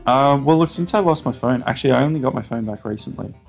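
A man co-hosting a casual podcast, talking hesitantly with many fillers and a self-deprecating manner, feeling low on energy. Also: quick pace, creaky, faint music behind. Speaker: podcast co-host. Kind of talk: casual chat. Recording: dull lo-fi sound.

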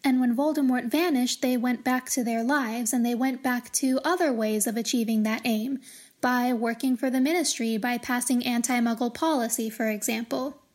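A woman hosting a podcast, giving measured, articulate commentary on a fantasy book series in a scripted, explanatory style. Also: on the high side, fairly even intonation, darkish tone. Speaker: podcast host.

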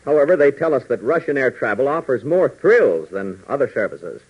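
Animated American 1940s radio announcer man. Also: mid-range voice, normal speed, breathy edge. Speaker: announcer and narrator. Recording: muffled old 1940s radio recording.

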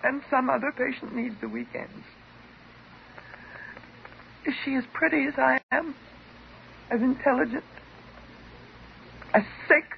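An American actress playing an intense, unstable psychotherapy patient, theatrical and emotionally volatile, in a 1950s radio drama. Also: female voice, sits high, a little slow, vocal fry, long pauses. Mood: bitter, taunting. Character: intense, unstable woman psychotherapy patient in love with her doctor. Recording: dull, hissy 1950s radio recording.

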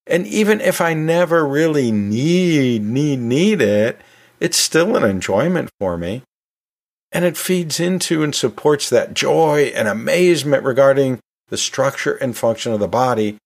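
A man hosting a podcast in a conversational, storytelling way, chatting informally with asides like a teacher talking to listeners. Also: medium pitch. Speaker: podcast host; anatomy and physiology teacher.

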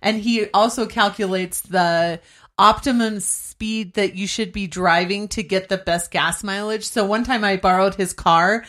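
A woman's voice: mid-range voice, steady tone, a little slow, fairly bright tone. A woman chatting casually on a podcast, teasing her brother in a chatty way. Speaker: podcast co-host. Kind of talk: casual chat.

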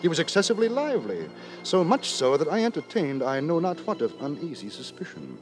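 An old 1950s radio play with music behind. a long-suffering, moralizing gentleman who tells his tale in formal, theatrical phrases. His voice sits fairly high and swings widely as he frets. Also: huge pitch swings, fairly quick, poor audio. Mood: uneasy, fretful. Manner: theatrical, formal, fretful storytelling. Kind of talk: 1950s radio drama narration and acting.

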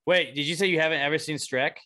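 A man's voice: on the high side, quick pace. A man, hosting a casual podcast chat and joking around. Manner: joking, animated, laughs often. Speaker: podcast host.

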